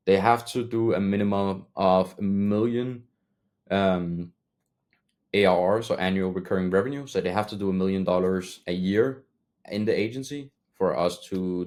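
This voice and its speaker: A man, not an English speaker, explaining a business method in a loose, rambling way, often pausing with fillers and correcting himself mid-sentence. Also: low voice, a little mellow. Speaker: agency owner teaching cold email outreach. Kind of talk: casual tutorial talk.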